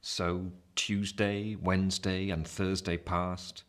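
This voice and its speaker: An actor playing a reflective man narrating his own youth in a literary radio play, measured and wistful. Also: deep voice, little pitch movement, a bit bright, smooth.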